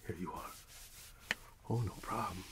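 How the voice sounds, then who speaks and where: A middle-aged man in a soft-spoken ASMR roleplay as a friendly candy shop owner, talking gently and unhurriedly close to the microphone. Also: low-pitched, heavy background noise. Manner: gentle, whispery, unhurried customer service.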